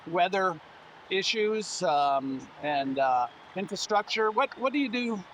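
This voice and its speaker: A man interviewing for an oral history project, asking questions haltingly with many fillers. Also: sits high, lively intonation, fairly bright tone, slight background noise.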